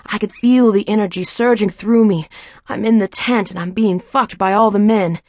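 A woman reads an intimate first-person monologue in an audiobook, musing at a middle pitch. The sound is dry and close. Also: normal speed, a little mellow, dry recording. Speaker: narrator.

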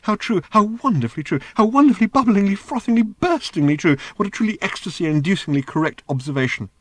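A man's voice: sits high, lively intonation, quick pace, no echo, pitched above the performer's own voice. A tiny robot that squeals and gushes with giddy, servile delight, as a man puts it on. The voice sits well above his own, swinging eagerly up and down. The recording is dry and close. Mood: ecstatic. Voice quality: squealing, gushing. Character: gushing little male security robot, ecstatically servile.

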